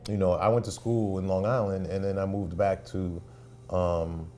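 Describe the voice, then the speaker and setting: A middle-aged man with a New York accent, talking in a fairly low voice. He tells his stories in a loose, easygoing way and says "you know" a lot. A soft hum of room ambience sits behind him. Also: moderate pace. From Brooklyn, New York. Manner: relaxed storytelling, many "you know" fillers. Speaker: music video show host and party promoter. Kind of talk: casual conversation.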